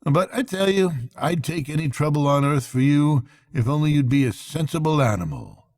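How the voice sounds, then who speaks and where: A man voicing a hearty, brisk storybook rat who is kindly, blunt and earnest. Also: a little high, expressive, a little mellow, voice pushed up. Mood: hearty, exasperated. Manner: brisk, exclamatory, blunt but fond.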